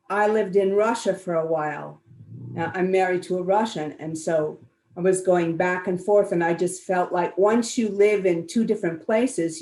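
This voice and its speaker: A woman's voice: fairly low pitch, a little mellow, reverberant. A woman moderating a film festival Q&A in a conversational interviewing style.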